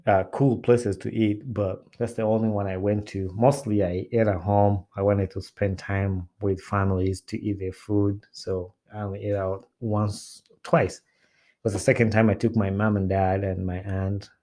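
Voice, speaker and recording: A man from Uganda, hosting a podcast in a relaxed, rambling way with many "uh" fillers. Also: fairly low pitch, moderate pace. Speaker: podcast host. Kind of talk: casual solo chat.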